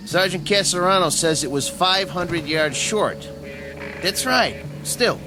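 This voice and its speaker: An actor playing a dutiful army radio operator in a radio drama, insistently relaying orders. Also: male voice, high voice, slow, over music, low-quality recording.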